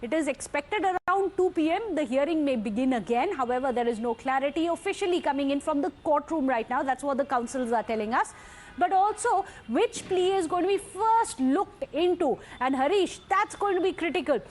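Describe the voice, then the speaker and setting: A woman's voice: high voice, animated, fairly quick, a little mellow, slight background noise. A woman news anchor with an Indian English accent delivering live breaking news in an emphatic, repetitive manner. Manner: emphatic, repeats key points.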